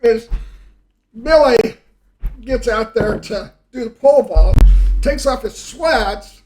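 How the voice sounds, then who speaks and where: High-pitched man, rambling as he reminisces. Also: animated, slow pace, music in the background, poor audio. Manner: rambling, trailing off mid-thought. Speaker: podcast host and storyteller. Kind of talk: casual reminiscing conversation.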